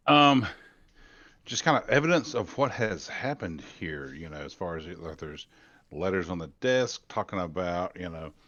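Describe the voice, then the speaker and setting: A man's voice: sits low, expressive, normal speed, a bit bright, no room sound, dull audio. A man chatting casually as a player in a tabletop role-playing game.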